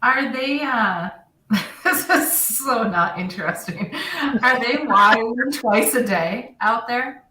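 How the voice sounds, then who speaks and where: A woman chatting casually on a podcast, agreeable and quick to laugh. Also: on the high side, reverberant, far from the mic, background noise. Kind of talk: casual chat.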